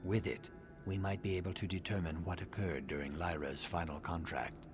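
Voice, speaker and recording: Man acting a low-voiced grim fantasy assassin. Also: steady tone, normal speed, music in the background, close to an everyday voice. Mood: measured. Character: grim vengeful male assassin of a dark brotherhood. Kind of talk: scripted fantasy game dialogue.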